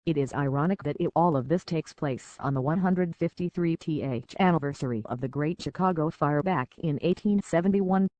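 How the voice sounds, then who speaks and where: A woman's voice: deep voice, normal speed, few pauses, a little mellow, dry recording, highs cut off, close to an everyday voice. A synthetic-sounding woman playing a TV news reporter, reading a scripted breaking news report formally.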